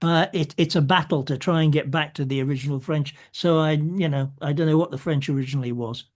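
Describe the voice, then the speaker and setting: A man's voice: a little high, brisk. A man in a casual group discussion who translates plays and talks in a chatty, rambling way with many restarts. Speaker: play translator and company organizer.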